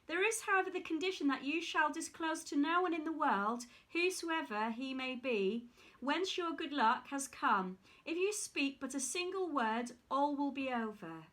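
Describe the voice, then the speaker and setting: A woman's voice: sits high, lively intonation, moderate pace, fairly bright tone. A woman voicing a magical talking golden fish while reading a fairy tale aloud. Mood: solemn. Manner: storybook read-aloud, precise.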